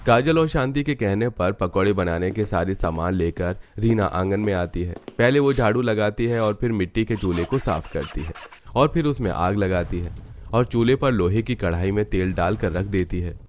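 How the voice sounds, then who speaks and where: A man narrating a cartoon story in a steady, scripted storytelling manner. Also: lowish voice, moderate pace, a little hiss or hum.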